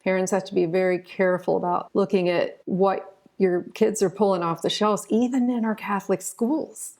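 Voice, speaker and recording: A woman's voice: mid-range voice, fairly even intonation, moderate pace, darkish tone. A woman speaking as a guest in a conversational interview, earnest and emphatic, often repeating words for stress.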